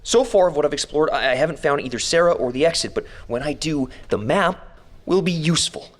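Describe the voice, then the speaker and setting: An actor playing an anxious captive man who thinks aloud haltingly in an audio drama monologue. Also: on the high side, animated. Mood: excited, scheming. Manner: halting, musing aloud, self-questioning. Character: anxious captive man exploring an underground maze. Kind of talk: audio diary monologue in fiction podcast drama. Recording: noisy ambient background.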